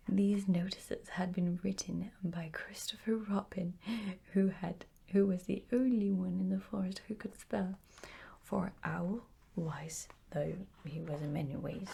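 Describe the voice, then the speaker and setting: A woman's voice: on the low side, vocal fry, dark tone, husky. A woman narrating a children's bedtime story in a soothing, whispery style.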